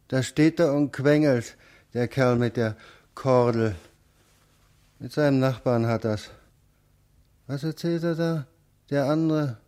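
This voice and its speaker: A man performs a gawking male bystander in a radio drama, thinking aloud in short, separate phrases. The delivery is slow and deliberate, with a soft, mellow tone and a sense of marvelling at what he sees. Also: medium pitch, close to an everyday voice. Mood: egging on. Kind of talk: radio play interior monologue.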